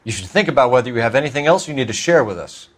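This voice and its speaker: An actor playing a stern young American police detective in a video game, questioning in a clipped, formal, accusatory way. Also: male voice, mid-range voice, expressive, rapid-fire, a bit bright. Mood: pressing. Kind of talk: acted dramatic video game dialogue.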